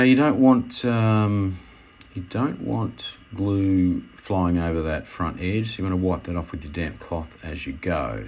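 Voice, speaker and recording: A man giving an unscripted how-to commentary as an instructor, speaking in a halting way and often correcting himself. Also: deep voice, slight background noise. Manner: hesitant, halting, self-correcting.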